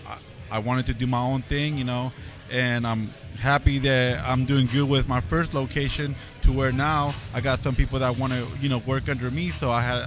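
A man who owns a barbershop, talking in a relaxed, reflective way with lots of fillers. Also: mid-range voice. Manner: relaxed, reflective, full of fillers like 'you know'. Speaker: barbershop owner, interview guest. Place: event backdrop at a barber competition. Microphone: handheld mic held by interviewer.

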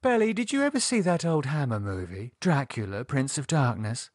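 A man's voice: mid-range voice, sing-song, normal speed, dry recording, clean studio sound, voice pushed up. A man voicing a haughty, sensual woman with a macabre streak, arch and sardonic, with a British accent, in an audiobook. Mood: enthusiastic. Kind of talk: audiobook character dialogue.

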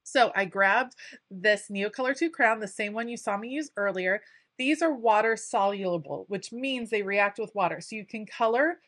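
A woman's voice: medium pitch, moderate pace, a bit bright, no room sound. A woman talking casually over her craft footage, chatty and enthusiastic with frequent ums. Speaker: craft video creator.

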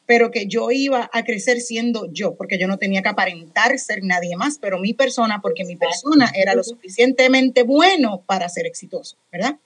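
A middle-aged woman with a Puerto Rican accent, a radio director moderating a panel on a video call, talkative and animated, joking at her own expense. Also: medium pitch, a little fast, hardly any pauses, breathy edge. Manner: talkative, animated, self-deprecating. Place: home room with headphones and studio mic. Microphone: studio microphone on a video call.

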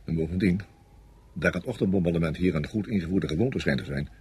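An actor playing a confident, coldly calculating senior French army officer in a radio play, speaking with matter-of-fact authority. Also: male voice, sits low, quick pace, a little mellow, a little hiss or hum, natural voice. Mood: commanding. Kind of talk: Dutch radio play acting.

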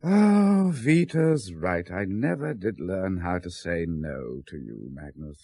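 A sceptical middle-aged British man in a seventies radio play. His voice is low and lively in pitch, with a dry, hesitant, wry manner. It is recorded close, with little room sound. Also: slow pace, husky, no room sound, full character voice. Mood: reluctant. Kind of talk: 1970s British radio drama acting.

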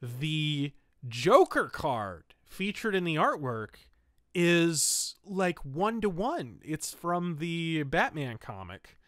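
Male podcast host, somewhat higher register, colloquial and keen, very dry recording. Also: big pitch swings, slow, a bit bright, no room sound. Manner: enthusiastic, opinionated, colloquial. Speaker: podcast host reviewing pulp fiction and old radio. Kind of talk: casual, conversational review commentary.

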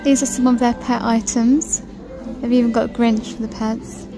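Woman filming a shop walkthrough, bit high voice, gushes over stuff and reads prices, music playing under it. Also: flat delivery, normal speed, low-quality recording. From United Kingdom. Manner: chatty, gushing over items and reading out prices. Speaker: shopping vlogger. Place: large supermarket, indoors.

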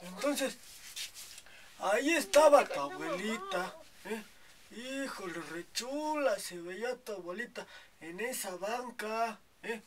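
A man's voice: high-pitched, lively intonation, takes it slowly, heavily acted. A man playing a boastful, preachy old Mexican grandfather who rambles and keeps adding "hijo, ¿eh?", in a comedy sketch. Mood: rambling. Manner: rambling, tags nearly every phrase with "hijo, ¿eh?".

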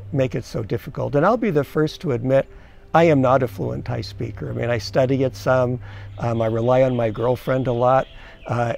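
A man's voice: mid-range voice, vocal fry, mellow. A middle-aged man from the United States, an expat giving earnest, conversational advice to viewers and asking them questions. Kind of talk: casual advice to camera.

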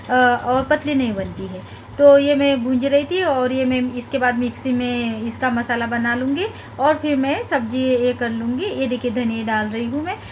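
Middle-aged lady vlogging round her house on her phone, high voice, chatty, lots of umms, bit of hiss behind. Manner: chatty, homely, hesitant with many fillers and restarts. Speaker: home vlogger. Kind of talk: casual vlog narration. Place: home and courtyard, indoors and outdoors.

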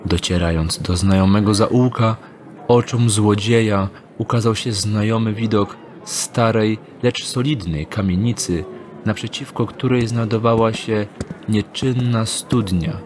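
A man narrating a radio drama in a calm, measured storytelling manner. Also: on the low side, background noise. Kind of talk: scripted audio drama narration. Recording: narration over music score.

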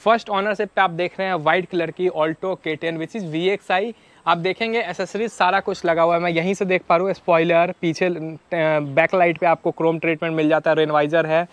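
A man's voice: on the high side, fairly even intonation, brisk, no echo, muffled recording. A young man, hosting a casual walkaround of cars on an outdoor lot, chatty and mixing in English phrases, on a lapel mic. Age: young adult. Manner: chatty, mixes English phrases, addresses viewers as brothers. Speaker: car vlogger host. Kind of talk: casual walkaround car showcase. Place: outdoor used-car lot. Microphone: clip-on lapel mic.

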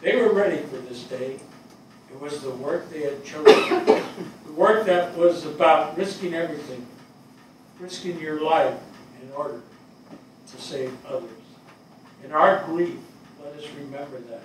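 An older man acting a grieving, earnest, plainspoken veteran fire captain in a halting stage reading. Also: fairly high pitch, natural voice. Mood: earnest, eulogizing. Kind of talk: dramatic stage reading of a play. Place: small theatre stage before seated audience. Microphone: distant camera microphone. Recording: echoey hall, distant mic, clear noise.

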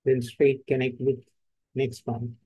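A man with an Indian English accent giving terse, step-by-step instructions in a screen-recorded software tutorial. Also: medium pitch, level tone, slow pace, husky, no room sound, muffled recording.

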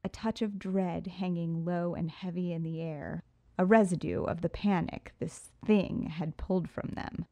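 Woman, audiobook narration, first person, sultry and poised, low-leaning pitch, dry close studio sound. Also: fairly even intonation, a little slow, warm tone, no room sound, lo-fi sound.

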